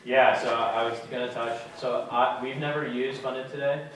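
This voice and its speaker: A young man giving a casual talk to a student audience, relaxed and plain-spoken with many fillers. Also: medium pitch, moderate pace. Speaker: guest speaker. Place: lecture room with audience. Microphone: far camera. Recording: echoey room from far off.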